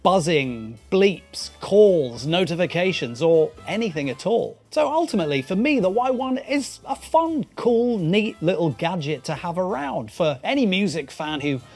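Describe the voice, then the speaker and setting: British male YouTube host, higher-pitched and very animated, playful and wry in conversational scripted narration, light music underneath. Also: a little slow, hardly any pauses. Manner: wry, playful, self-deprecating asides.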